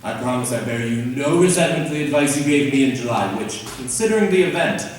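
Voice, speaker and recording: A man acts an ageing country gentleman and father, rueful and wry. He speaks formally and deliberately at a middling pitch, distant in a reverberant hall. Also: animated, lightly acted. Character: older gentleman father, wry, self-reproaching. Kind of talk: amateur period stage drama acting. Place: theatre stage, miked from afar. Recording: echoey hall, distant mic, faint noise.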